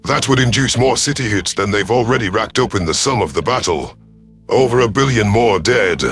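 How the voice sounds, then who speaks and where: A man plays an alien predator chief in command of a warship. The voice is lowish, formal and authoritative, handing out orders like a seasoned military leader. Faint music sits behind this sci-fi audio story. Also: animated, normal speed, fairly bright tone. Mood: stern, commanding.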